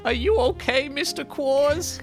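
Earnest alien hero for a space radio serial, lifted high pitch, male performer, music underneath. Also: a little slow. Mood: concerned, gentle.

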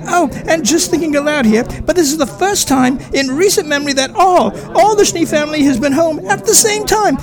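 A man voicing a fawning, gossipy male society reporter covering a gala in a fan audio drama. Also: very high voice.

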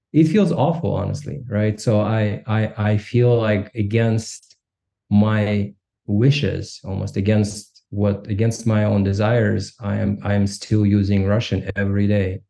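A man from Kazakhstan, a podcast co-host, reflecting candidly in conversation. He talks haltingly, restarting often and adding "right?". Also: fairly low pitch, gravelly, warm tone.

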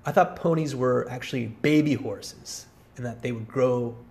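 A man's voice: medium pitch, moderate pace, creaky, a little mellow. A man of about forty hosting a podcast in a rambling, casual solo monologue, with a wry, self-deprecating, digressive manner. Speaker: podcast host.

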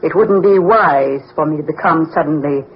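An actress playing a cold, grasping private nurse in an old radio drama. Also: female voice, deep voice, normal speed, lightly acted. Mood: cool, demanding. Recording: dull, noisy vintage radio recording.

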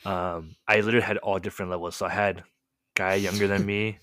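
A young man with a very low voice tells his childhood story in a loose, rambling way, peppering it with "like" and "um". He grew up speaking Korean first. His voice sounds dry and close, with no room around it. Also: brisk, no echo. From United States. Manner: relaxed, rambling, heavy use of "like" and "um" fillers. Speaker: podcast guest telling his life story. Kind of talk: casual storytelling chat.

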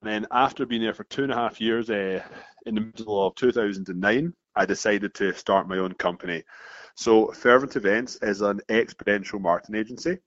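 Scottish man, low voice, thirties, casual interview guest, dry muffled recording. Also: brisk, dry recording. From Scotland. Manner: restarts phrases often, steady explaining flow. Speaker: founder of a marketing agency, guest.

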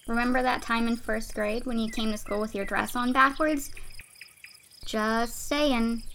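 A man's voice: fairly even intonation, unhurried, vocal fry, pushed and bright, a little hiss or hum, exaggerated voice. A man voicing Juniper, a bold, cheeky young girl in a storybook reading. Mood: teasing. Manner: high girlish voice, defiant and teasing.

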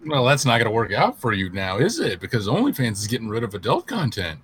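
Husky, stammering joking man. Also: medium pitch, huge pitch swings, quick pace. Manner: stammering, joking, laughs often. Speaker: podcast co-host. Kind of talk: casual comedic banter.

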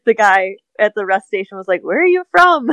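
A woman's voice: mid-range voice, sing-song, fairly quick, a bit bright, dry recording, dull audio. A woman in her thirties from Wisconsin with a Midwestern accent, chatting as a guest on an interview podcast, laughing often and using plenty of "um" fillers. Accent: Midwestern American (Wisconsin). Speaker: podcast guest, small business owner. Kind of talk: casual interview chat.

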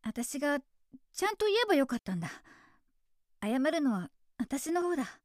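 A woman acting a frank, warm-hearted teenage girl, a loyal best friend, with expressive, open delivery in a Japanese radio drama. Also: high-pitched, moderate pace, no room sound. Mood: contrite. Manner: expressive, plain-spoken, emotionally open. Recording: studio drama with music underneath.

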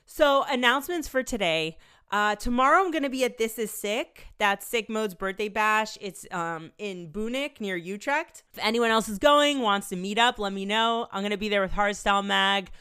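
A woman's voice: mid-range voice, moderate pace, sharp tone, clean tone. A young adult woman hosting a podcast, chatty and upbeat, her voice a little congested from a cold, speaking into a studio microphone. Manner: chatty, upbeat, laughs between phrases. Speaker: podcast host. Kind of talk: casual podcast chat and solo outro.

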